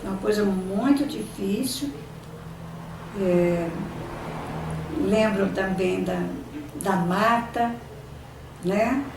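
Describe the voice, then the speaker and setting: Slow older woman recalling the past. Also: mid-range voice, background noise. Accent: Brazilian. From Brazil, São Paulo state. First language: Italian. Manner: halting, searching for words, many restarts. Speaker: interviewee. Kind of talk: oral history reminiscing. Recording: echoey room, distant mic, faint ambience.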